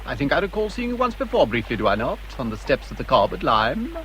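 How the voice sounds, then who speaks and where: An actor playing an assured, coolly polite gentleman with a formal manner, in a period radio drama. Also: medium pitch, big pitch swings, fast, a little hiss or hum.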